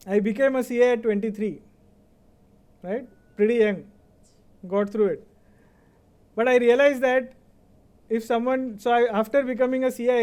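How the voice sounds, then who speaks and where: A man's voice: high-pitched, dark tone, clear, resonant tone. A middle-aged Indian man whose first language is Kannada, giving a motivational convocation speech in Indian English. He talks conversationally and often checks in with "right?". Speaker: convocation speaker.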